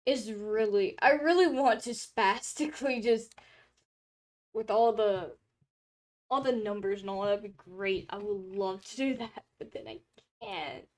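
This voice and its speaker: A young woman streamer in casual chat, rambling with many fillers and restarts, her throat a little dry and hoarse, talking from a room at home. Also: mid-range voice, a little slow. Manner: rambling, chatty, lots of filler words and restarts. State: throat drying, slightly hoarse.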